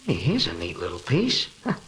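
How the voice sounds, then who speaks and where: Brash, wisecracking 1940s newspaperman, bright-voiced. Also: lowish voice, huge pitch swings, moderate pace. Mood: confident, determined. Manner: brash, slangy wisecracking delivery. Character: cocky, wisecracking male newspaper reporter, bold investigator. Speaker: radio actor. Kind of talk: 1940s radio drama acting. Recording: hissy, crackly 1940s radio recording.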